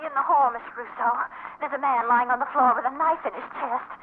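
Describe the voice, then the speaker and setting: Casting: actress as inquisitive amateur-sleuth wife, high voice, anxious edge, narrow-band vintage radio mystery. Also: female voice, steady tone, quick pace, close to an everyday voice. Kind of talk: 1940s radio acting. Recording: tinny old radio broadcast, faint noise.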